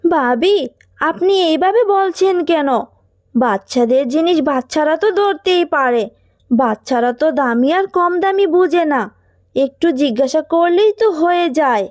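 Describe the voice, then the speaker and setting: A woman voicing a poor, anxious, gentle fox mother in a children's cartoon, pleading and soothing in a motherly way. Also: high voice, expressive, normal speed, no room sound, studio quality.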